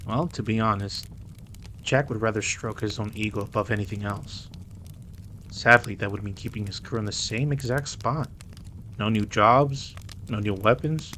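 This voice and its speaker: A man's voice: on the low side, fairly bright tone, a little hiss or hum. A man playing a protective, easygoing mafia boss with a wry streak in an audio roleplay.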